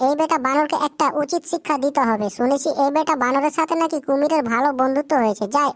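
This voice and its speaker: A wicked cartoon jackal, played by a woman, with a clearly high voice and a close, dry sound. The creature is sly and vengeful, making demands and goading others. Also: dry recording, close to an everyday voice. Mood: scheming, vengeful. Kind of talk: cartoon moral-tale character acting.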